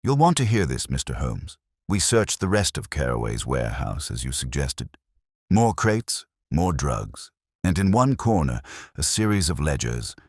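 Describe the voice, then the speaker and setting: A man's voice: rumbling low, sing-song, unhurried, dry recording, clean studio sound, heavily acted. A man voicing a businesslike police inspector in a mystery audiobook, blunt and formal. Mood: grim, puzzled.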